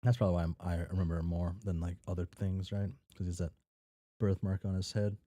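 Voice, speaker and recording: Low-pitched mellow man reading aloud. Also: flat delivery, a little fast, dry recording. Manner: stumbles over words and repeats them; swears casually. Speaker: reader reacting to a fan fiction. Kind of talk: casual read-aloud with off-the-cuff commentary.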